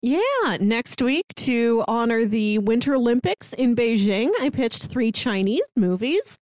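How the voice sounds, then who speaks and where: A woman's voice: on the high side, big pitch swings, unhurried, a little mellow, no echo, clean studio sound. A woman co-hosting a podcast in casual, conversational style. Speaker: podcast co-host.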